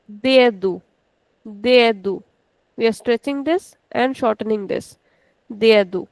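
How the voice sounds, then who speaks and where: A woman's voice: a little high, gravelly, clear, resonant tone, dry recording, low-quality recording. Indian woman teaching pronunciation, dry close mic. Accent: Indian English. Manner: slow, drawn-out demonstration of pronunciation, repeating words; switches into rapid Hindi asides. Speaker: language teacher. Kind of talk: online language lesson.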